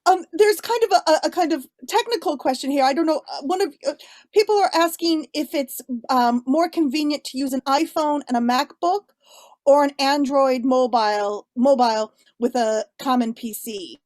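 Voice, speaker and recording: A woman hosting and moderating an online panel, encouraging the guests with quick affirmations. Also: sits high, darkish tone, no echo, studio quality. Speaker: host and moderator. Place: over a video call.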